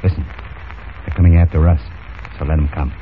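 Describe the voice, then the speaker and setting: A man's voice: a bass voice, little pitch movement, brisk. An actor playing a tough, menacing male pirate crook with sneering gangster talk in an old radio drama. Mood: defiant, sneering. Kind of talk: 1940s radio drama acting. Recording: muffled, noisy old radio recording.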